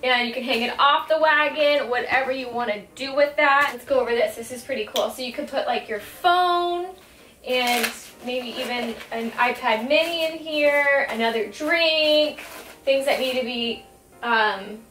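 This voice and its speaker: A woman's voice: sits high, measured pace, fairly bright tone, reverberant, slight background noise. A young woman vlogger chatting casually as she shows off a product at home, full of "like" and "um". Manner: chatty, upbeat, filler-heavy. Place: home room with wooden floor.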